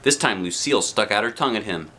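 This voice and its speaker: A man reading a children's storybook aloud in an expressive narrating style with short, simple phrases. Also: fairly low pitch, fast. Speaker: storybook reader.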